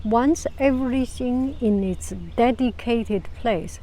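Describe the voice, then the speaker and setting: A woman with a Chinese accent and a low, soft voice giving calm, casual advice to camera, often restarting phrases and sometimes dropping to a whisper. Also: a little hiss or hum, rough recording. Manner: calm, unhurried, many restarts. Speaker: vlogger.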